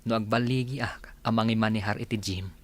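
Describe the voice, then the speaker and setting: An actor playing a respectful, eager godson in an Ilocano radio drama, deferential and assuring. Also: male voice, fairly low pitch, fairly even intonation, brisk, lightly acted. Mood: grateful, promising.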